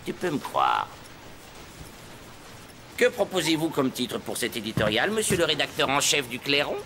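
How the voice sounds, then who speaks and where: A man's voice: mid-range voice, wildly swooping pitch, moderate pace, bright, forceful tone, heavily acted. An actor playing a feisty, fatherly newspaper director in a French cartoon, speaking emphatically, with noise in the recording. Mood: combative.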